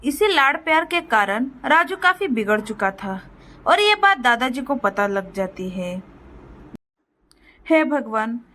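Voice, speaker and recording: Woman, high pitch, storyteller, scripted narration, cartoon moral story. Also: very animated, a little slow, bright, forceful tone.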